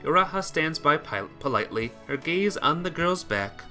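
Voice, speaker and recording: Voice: medium pitch, lively intonation, moderate pace, bright, forceful tone, faint music behind. An amateur reader narrating a visual novel expressively, as a story.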